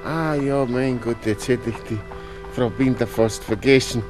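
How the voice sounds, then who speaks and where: An actor playing a kindly, flustered older father with a Viennese accent, halting and full of little interjections, in a 1960s radio drama. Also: medium pitch, over music, lightly acted.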